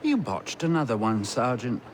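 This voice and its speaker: A man playing a bumbling, blustering Victorian policeman with an English accent and a gruff manner in a video game. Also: medium pitch, sing-song, moderate pace, noisy background, lightly acted. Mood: exasperated. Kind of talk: video game cutscene acting.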